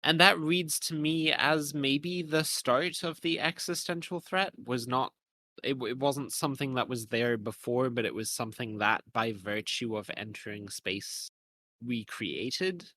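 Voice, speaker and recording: Bright-voiced man, analytical podcast co-host. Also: medium pitch, measured pace, no room sound. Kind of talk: discussion of a video game.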